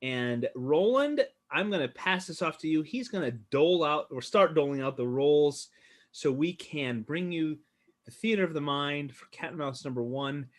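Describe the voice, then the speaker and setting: A man's voice: fairly high pitch, animated, normal speed. Upbeat male livestream host, laughing often. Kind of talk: casual livestream chat.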